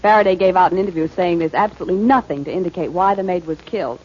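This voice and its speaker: An actress playing a detective's knowing, teasing companion, wry and playfully skeptical, in 1940s radio drama style. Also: female voice, lowish voice, racing, darkish tone, close to an everyday voice. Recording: dull, noisy 1940s radio broadcast.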